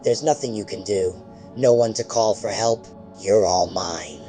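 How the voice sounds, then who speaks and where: A man's voice: on the low side, unhurried, warm tone, soft background music, close to an everyday voice, low-quality recording. A man voicing a monster that poses as a mother, speaking in a wet, gurgling, menacing hiss. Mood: gloating.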